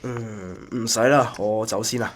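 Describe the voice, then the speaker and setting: A man's voice: on the low side, fairly even intonation, measured pace, slight background noise, natural voice. A man with a Hong Kong Cantonese accent playing a weary, mild-tempered businessman in a radio drama. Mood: groggy, polite.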